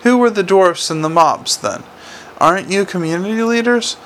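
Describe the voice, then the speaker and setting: A man's voice: fairly high pitch, normal speed, gravelly, a bit bright, a little hiss or hum. A man voicing a wrathful, sarcastic city watch commander in an audiobook, ranting and snapping accusations. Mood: furious, sarcastic.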